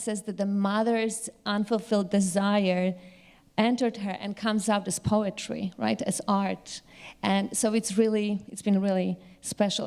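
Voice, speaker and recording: A woman's voice: medium pitch, a little mellow, clean tone. A woman panelist speaking spontaneously to an audience in an enthusiastic, appreciative way.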